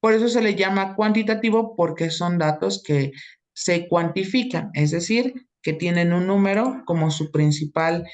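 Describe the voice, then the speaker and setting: A woman's voice: fairly low pitch, normal speed, darkish tone. A woman teaching an online class, explaining step by step and often checking in with her students.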